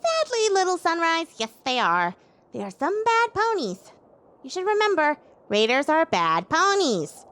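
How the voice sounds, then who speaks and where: A woman's voice: very high voice, huge pitch swings, measured pace, a bit bright, exaggerated voice. A woman voicing a bubbly, childlike pink pony in a sing-song audio drama performance. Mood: cheerfully chiding. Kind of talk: audio drama character acting.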